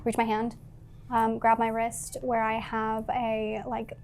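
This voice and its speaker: A woman playing a tabletop game, describing her character's actions in a halting, informal way. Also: on the high side, monotone, a little mellow, a little hiss or hum. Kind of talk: improvised tabletop roleplay description.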